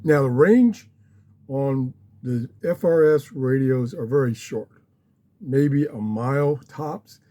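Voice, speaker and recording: A man giving a casual, unscripted explainer as a hobbyist host, speaking haltingly in short phrases with pauses. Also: medium pitch, warm tone. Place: small home office.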